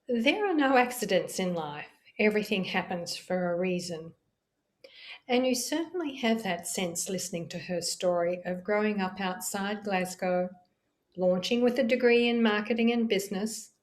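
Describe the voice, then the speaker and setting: Podcast host woman, measured and clear. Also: medium pitch. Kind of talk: scripted intro and interviewing.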